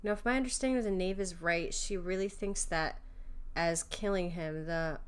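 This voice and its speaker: A woman streaming casual reaction commentary on a game, informal and excitable as she thinks aloud. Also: lowish voice, normal speed, clean tone. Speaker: streamer, vtuber.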